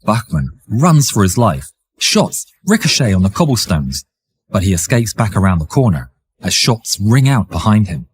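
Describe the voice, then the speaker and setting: A man reading dramatic scripted war history narration in a theatrical, suspenseful way, with a husky, raspy voice. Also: on the low side, sing-song, normal speed.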